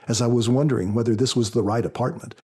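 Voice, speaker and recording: A man reading scripted first-person audiobook narration in a measured storytelling manner. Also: medium pitch, dark tone, slightly rough. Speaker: author narrating his audiobook.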